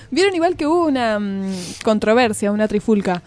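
A woman's voice: on the high side, animated, moderate pace, darkish tone. A woman with an Argentine accent hosting a casual radio chat in a reflective, giggly manner. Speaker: radio show host.

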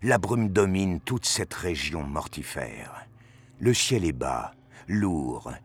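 A man's voice: low-pitched, a bit bright, breathy edge. A man narrating a scripted adventure story.

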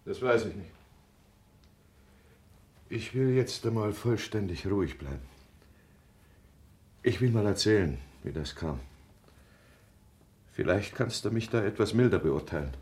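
An actor in a German radio drama playing an earnest, cornered middle-aged husband, proud and pleading, with an urgent, emphatic delivery. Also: male voice, lowish voice, lively intonation, a little slow, long pauses, darkish tone, husky. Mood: contrite, controlled.

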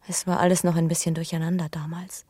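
A breathy young woman played by an actress speaks with a husky edge in a very dry studio. She is an earnest, intimate lover in a radio drama, confiding in deliberate phrases. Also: on the low side, flat delivery, mellow, dry recording, close to an everyday voice. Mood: hushed confession. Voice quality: breathy, often sinking to a whisper. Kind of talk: German radio drama acting.

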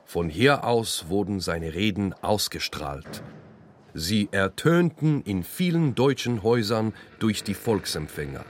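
A man narrating a scripted first-person radio documentary, with deliberate, pointed phrasing. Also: lowish voice, animated, slight background noise. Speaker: narrator and author of a radio play.

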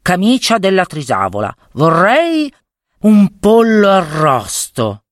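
A woman's voice: on the low side, huge pitch swings, takes it slowly, dry recording, studio quality, pitched above the performer's own voice, full character voice. A woman voicing a naive eighteen-year-old orphan boy in a fairy tale, wide-eyed and exclamatory. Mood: hesitant wishing.

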